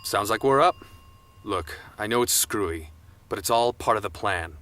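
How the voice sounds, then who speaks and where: A man's voice: on the low side, expressive, moderate pace, a bit bright, a little hiss or hum. A young man with an American accent acting an earnest, urgent college student in a radio drama. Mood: guarded, confident. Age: young adult. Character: intense young college man bent on exposing his uncle.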